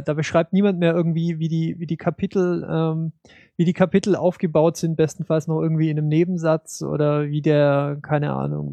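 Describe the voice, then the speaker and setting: Gravelly, dark-voiced man hosting a podcast. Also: on the high side, no echo. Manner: hesitant, many fillers and restarts. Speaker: podcast co-host. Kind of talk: casual podcast chat.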